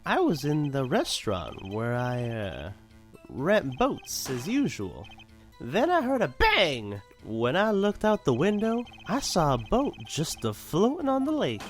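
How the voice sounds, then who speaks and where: Rambly old country witness for a game fandub, super slow, voice goes all over the place. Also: male voice, on the high side, wildly swooping pitch, faint music behind. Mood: rambling, folksy. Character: forgetful old male boat rental shop witness, folksy, rambling. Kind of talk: amateur video game fandub acting.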